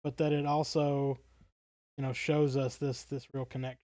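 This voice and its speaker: A man's voice: mid-range voice, flat delivery, normal speed, warm tone, no echo, clean studio sound. A man co-hosting a podcast, talking casually in an earnest and thoughtful manner. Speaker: podcast co-host.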